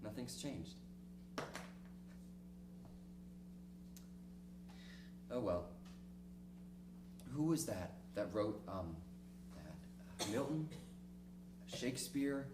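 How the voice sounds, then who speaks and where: A man acting a lonely, cynical middle-aged diarist in a dramatic stage reading, speaking reflectively and ruefully with halting pauses. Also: fairly low pitch, sing-song. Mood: self-mocking, resigned. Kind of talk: dramatic monologue in a one-act play reading. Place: small theater stage, recorded from the audience distance. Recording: distant, echoey stage recording with noisy hum.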